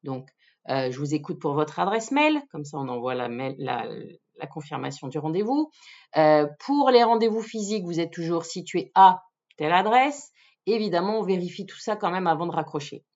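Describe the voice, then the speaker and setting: A woman's voice: lowish voice, animated, moderate pace, a little mellow, dull audio. A woman giving an instructional talk to viewers as a phone sales coach, explaining step by step in a didactic manner. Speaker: phone sales coach presenting a tips video.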